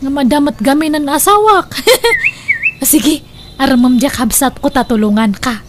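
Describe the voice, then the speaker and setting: A woman's voice: sits high, big pitch swings, unhurried. A woman playing a pushy, teasing older sister with an Ilocano accent in a comedy radio drama, nagging and exclaiming. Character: pushy, teasing older sister urging younger sister toward old suitor. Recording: noisy recording.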